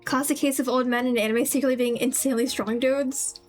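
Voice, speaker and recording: A woman's voice: high-pitched, fairly even intonation, brisk. A woman livestreamer giving casual, rambling game commentary as she chats to her viewers. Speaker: livestreamer playing a video game. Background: game music.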